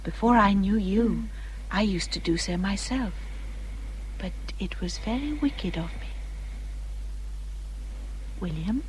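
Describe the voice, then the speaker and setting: An English actress playing a gentle, considerate young gentlewoman in a period radio drama, soft-spoken and earnest. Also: medium pitch, slow, long pauses, a little hiss or hum, close to an everyday voice, rough recording. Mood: gentle, subdued.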